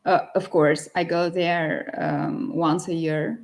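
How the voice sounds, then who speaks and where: Guest author, woman, Albanian accent, gravelly edge, cheerful and giggly on a live call. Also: fairly low pitch, fairly even intonation, slow pace. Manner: cheerful, laughs often between phrases. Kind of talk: live chat interview. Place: at home at night. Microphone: video call.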